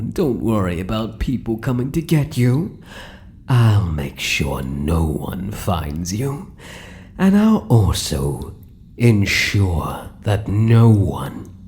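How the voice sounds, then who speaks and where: Casting: male actor as British drider, possessive and doting, low dark voice, swooping intonation, intimate ASMR menace. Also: a little hiss or hum, exaggerated voice. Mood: soothing, possessive. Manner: crooning, soft menace, breaking into whispers. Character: possessive, sinister yet doting male spider-human hybrid monster. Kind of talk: ASMR roleplay, intimate monologue to listener.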